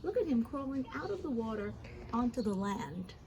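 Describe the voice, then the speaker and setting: A woman reading a children's story aloud expressively, pausing for gentle asides to young listeners. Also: a little high, very animated, a little hiss or hum. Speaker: storybook reader.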